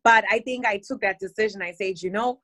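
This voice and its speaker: A woman's voice: mid-range voice, brisk, fairly bright tone. A woman from Zimbabwe, a guest musician, chatting easily in an interview and laughing often. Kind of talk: casual interview chat. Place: based in London, on a remote call.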